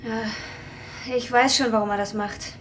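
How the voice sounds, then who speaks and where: An actress playing a cool, self-interested young waitress, matter-of-fact and hard-edged, in a radio crime drama. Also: female voice, fairly high pitch, steady tone, takes it slowly, a bit bright, a little hiss or hum, rough recording. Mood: cool, dismissive. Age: young adult.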